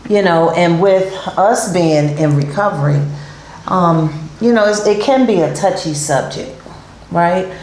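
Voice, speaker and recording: Slow-talking woman host in a roomy studio. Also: fairly low pitch, a little hiss or hum. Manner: warm reassuring, many fillers and repeated yeahs. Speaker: talk show host. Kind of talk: casual interview chat.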